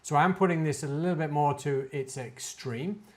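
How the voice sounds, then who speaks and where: A man's voice: a little high, normal speed, darkish tone. A man giving an informal, hands-on tech demonstration, talking it through hesitantly as he works. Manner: hesitant, thinking aloud while working. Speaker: tech reviewer demonstrating network gear.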